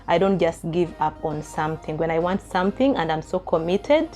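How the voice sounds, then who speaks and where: A Ugandan woman in her thirties talks to her viewers in a relaxed Q&A vlog. Her voice sits on the lower side, and she reads each question aloud, then rambles into chatty answers with small laughs. Soft music plays faintly behind her. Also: moderate pace. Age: 30s. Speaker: vlogger. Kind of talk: casual Q&A chat to camera.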